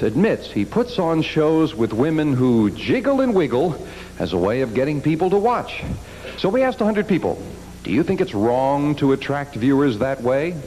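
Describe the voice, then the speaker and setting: A man hosting a TV game show, cheerful and jokey, keeping the play moving. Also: a little high. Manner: cheerful, animated, jokey banter. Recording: noisy TV studio with loud audience.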